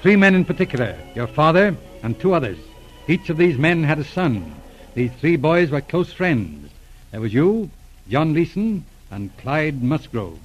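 An actor in a 1940s radio drama playing a shrewd, calm, middle-aged police inspector with a measured, probing manner. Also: male voice, fairly high pitch, breathy edge, lo-fi sound, faint music behind, heavily acted. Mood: calmly probing. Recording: old radio drama recording.

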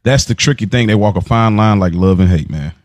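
A man's voice: fairly low pitch, brisk, dry recording, studio quality. An American man co-hosting a podcast in casual, slangy chat, often repeating phrases for emphasis. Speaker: podcast co-host. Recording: music playing behind the voice. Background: clear background music.

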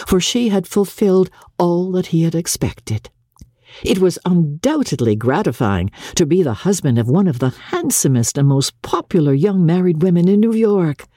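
A woman's voice: a bass voice, warm tone, slightly rough, no echo, clean studio sound. A woman reading a classic novel aloud as scripted, dramatic audiobook narration.

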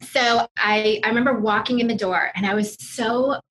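A woman's voice: on the high side, fairly even intonation, normal speed, fairly bright tone, a little hiss or hum, poor audio. A woman speaking as an interview guest, telling personal stories conversationally with frequent "you know" asides. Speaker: podcast guest, financial services executive.

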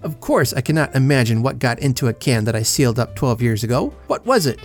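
A man reading a story and voicing a shrewd elderly aunt who asks probing, sharp questions. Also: very deep voice, lively intonation, brisk, faint music behind. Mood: curious.

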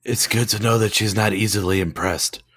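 A man co-hosting a casual chat with a husky, sick voice, making dry deadpan remarks. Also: fairly low pitch, moderate pace. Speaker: podcast co-host.

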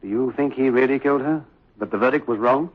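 An actor playing a concerned, inquisitive police sergeant in a 1960s radio drama on an old recording. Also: male voice, medium pitch, fast talker, slightly rough, close to an everyday voice. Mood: concerned, questioning. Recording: dull, faintly noisy old radio recording.

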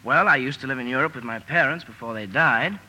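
A man playing a polite, well-bred young gentleman in old radio drama, with courteous, polished delivery. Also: medium pitch, a little fast, rough recording. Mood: polite, wistful. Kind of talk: 1940s radio drama acting.

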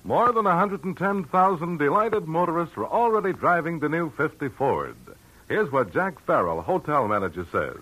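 A male announcer pitches a car with lively intonation and a slightly husky voice. His pitch sits a little high, in the style of a 1950 radio commercial. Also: normal speed, poor audio. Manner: persuasive sales pitch. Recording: old radio broadcast.

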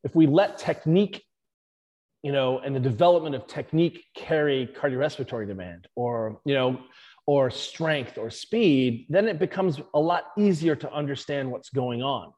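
A man, a strength and conditioning coach, explaining his views in an interview with animated emphasis. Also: medium pitch, moderate pace, in a large hall.

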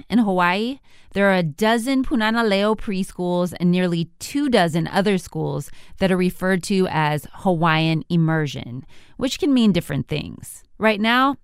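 A woman reporter narrating a radio news feature in a measured, scripted delivery. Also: fairly low pitch, no echo, studio quality. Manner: measured, clear storytelling delivery.

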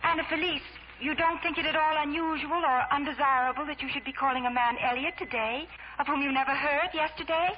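A woman's voice: sits high, fairly quick, close to an everyday voice. An actress playing an earnest, anxious young woman, a twin, hesitant and dramatic in 1940s radio drama style. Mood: worried, disapproving. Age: young adult. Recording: noisy, muffled old 1940s radio recording.

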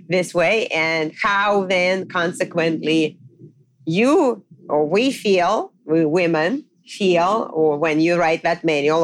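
A middle-aged woman with a Russian accent, hosting a podcast as a dating coach in casual, reflective talk, pausing often with fillers. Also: fairly low pitch, dry recording. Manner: reflective, pauses with frequent fillers. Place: quiet farmhouse in the countryside.

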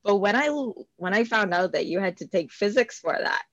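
A woman's voice: medium pitch, fairly quick, a bit bright, no room sound, dull audio. A woman, talking as an interview guest, hesitant with many fillers and frequent laughs. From Bahamas. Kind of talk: casual interview.

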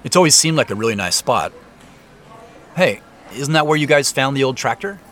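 A man's voice: medium pitch, animated, moderate pace, a bit bright, a little hiss or hum, close to an everyday voice. A man acting in a naturalistic audio drama as a cautious, uneasy professional with a hesitant, questioning manner. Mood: probing, casual.

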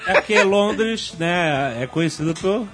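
A man's voice: sits high, expressive, measured pace, loud music behind the voice. A Brazilian man hosting a podcast with casual banter, jovially reacting in short exclamations. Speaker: podcast host.

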